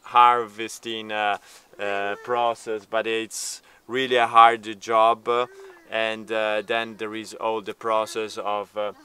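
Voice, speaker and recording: A man's voice: fairly low pitch, little pitch movement, takes it slowly, bright, forceful tone, smooth. A man in his thirties, a native Italian speaker, talking casually to camera outdoors in an olive grove with many hesitant fillers. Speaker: vlogger, homesteader. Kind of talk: casual vlog talk to camera.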